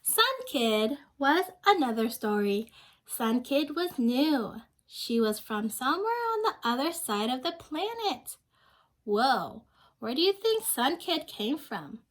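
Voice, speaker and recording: A young woman with a high voice reads a children's picture book aloud. She takes it very slowly, stopping to put questions to her young listeners and explain in simple words. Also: big pitch swings. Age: young adult.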